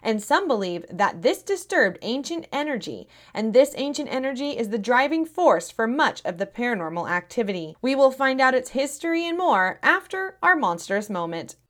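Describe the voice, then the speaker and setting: Slightly raspy woman hosting a podcast. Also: fairly high pitch, sing-song, normal speed, hardly any pauses, a bit bright. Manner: friendly, chatty, earnest. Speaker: podcast host. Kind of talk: scripted podcast narration.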